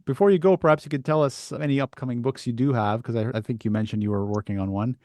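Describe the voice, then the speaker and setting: A middle-aged man hosting a conversational podcast interview, thinking aloud in a halting way with restarts. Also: mid-range voice, a little mellow, no echo, clean studio sound. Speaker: podcast host.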